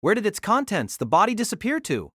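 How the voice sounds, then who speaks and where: A man's voice: high voice, huge pitch swings, brisk, pushed and bright, husky, dry recording, clean studio sound. A man reading scripted dramatic narration for an audio drama.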